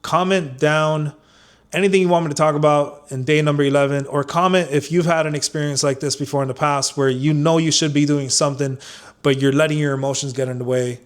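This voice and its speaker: A man's voice: on the high side, steady tone, a little fast. A man vlogging an unscripted motivational talk, earnest and off the cuff, with frequent fillers and restarts.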